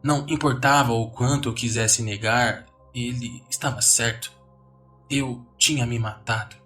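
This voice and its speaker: A man's voice: medium pitch, fairly even intonation, unhurried, a bit bright. A man with a Brazilian accent giving a dramatic scripted narration of a horror story. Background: music.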